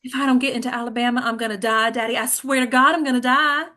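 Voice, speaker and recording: Bright, dramatic teenage girl played by a woman. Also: fairly high pitch, fairly even intonation, quick pace. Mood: melodramatic. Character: dramatic, frank teenage daughter, devoted girlfriend.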